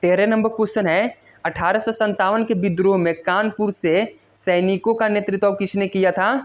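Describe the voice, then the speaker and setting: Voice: medium pitch, steady tone, normal speed. An exam-prep teacher reading quiz questions and giving answers in a repetitive, instructional way.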